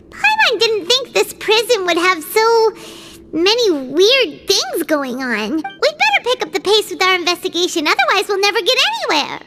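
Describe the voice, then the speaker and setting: A woman voicing a small, childlike fairy companion in a video game, chatty, excitable and curious. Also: moderate pace, loud music behind the voice. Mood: chipper, determined. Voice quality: squeaky, childlike. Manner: excitable, refers to herself in third person. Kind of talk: animated video game dialogue.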